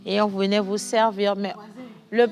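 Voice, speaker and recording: A woman's voice: mid-range voice, unhurried, soft background music. A woman hosting a studio talk show in Togolese-accented French, chatting animatedly and reading viewer messages aloud. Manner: animated, laughing, shifts from chatty to soft.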